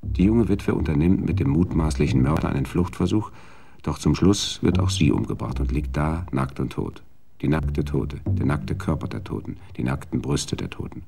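A man narrating a radio drama in a dry, measured storytelling manner. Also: rumbling low, vocal fry, warm tone, husky.

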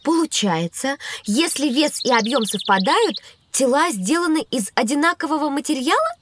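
A woman's voice: sits high, animated, moderate pace, noisy background. A woman voicing a curious, earnest child pupil who reasons aloud in a children's educational audio drama. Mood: thoughtful. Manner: inquisitive, careful reasoning aloud.

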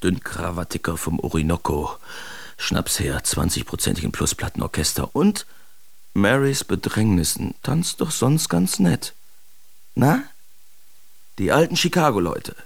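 An actor playing a brash, mocking male Dadaist artist, reciting theatrically in an expressive radio drama. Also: lowish voice, unhurried, gravelly, slightly rough, full character voice. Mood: drunken reverie, then rowdy, raucous.

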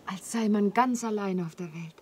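A woman's voice: medium pitch, normal speed, darkish tone, natural voice. An actress playing a wistful, vulnerable young woman in a German radio play, hesitant and pensive in her delivery. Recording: clearly audible background ambience. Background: ambience noise.

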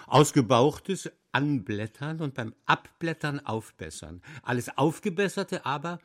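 An actor in an experimental radio play playing a solemn reciter of absurd word lists with measured diction. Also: male voice, a little high, very animated.

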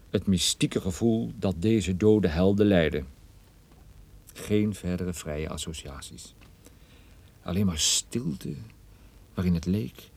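An actor playing a wry, gloomy male private detective, narrating a radio play in a dry, ironic, measured way. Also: fairly low pitch, vocal fry, warm tone, husky, exaggerated voice.

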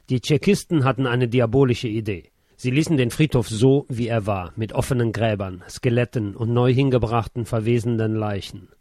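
A man's voice: medium pitch, a little mellow, no room sound. Sober male memoir narrator, dry studio sound. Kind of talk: scripted first-person narration.

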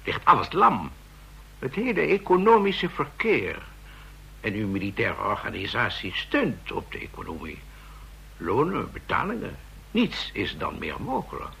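A man's voice: fairly low pitch, huge pitch swings, unhurried, gravelly, bright, forceful tone, slight background noise, full character voice. An actor playing an insistent male counterfeiting expert explaining his case to officers in a radio drama.

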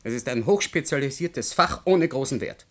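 A male physics lecturer, played by an actor in a radio play, with a medium-pitched voice. He talks formally and with measured care, reserved and politely evasive. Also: expressive, fairly bright tone, close to an everyday voice. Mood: firm, dismissive. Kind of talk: German radio drama acting.

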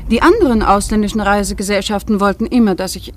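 An actress playing a naive, curt tour guide who speaks in a matter-of-fact, artless way. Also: female voice, medium pitch, fast talker, close to an everyday voice.

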